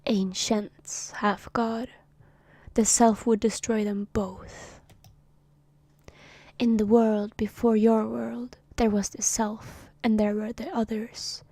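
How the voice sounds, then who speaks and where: A woman voicing a solemn ancient male cosmic being in a hushed whisper, reading a comic aloud on a stream. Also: a little high, little pitch movement, takes it slowly, no echo. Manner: hushed whisper, formal speech about itself.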